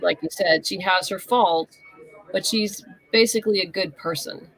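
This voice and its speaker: A woman's voice: medium pitch, moderate pace, fairly bright tone, a faint crowd, poor audio. A woman speaking as an interview guest in casual, conversational chat.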